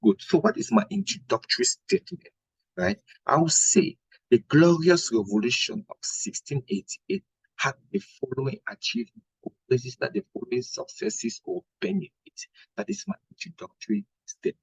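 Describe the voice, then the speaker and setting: Husky, lively man giving a history lesson. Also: high-pitched, no room sound, low-quality recording. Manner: didactic, deliberate, checks with "right?" and rhetorical questions. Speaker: history teacher preparing exam candidates. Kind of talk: instructional exam-prep lecture.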